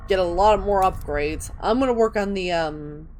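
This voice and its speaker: A woman's voice: medium pitch, normal speed, darkish tone, a little hiss or hum. A woman hosting a gaming video, reading in-game texts aloud and commenting casually as she plays.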